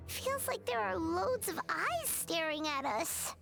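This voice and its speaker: Anime game fairy companion: childlike, squeaky, very high, animated, easily spooked, music behind. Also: female voice, takes it slowly, gravelly, full character voice. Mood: spooked, wary. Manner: refers to herself in third person, expressive. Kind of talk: anime video game voice acting. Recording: game audio under loud music.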